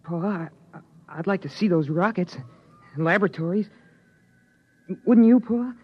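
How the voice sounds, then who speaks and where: An actor playing an earnest teenage boy who narrates, speaking in a slightly halting way in a 1950s radio drama. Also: sits high. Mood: wistful. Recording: dull old radio broadcast recording.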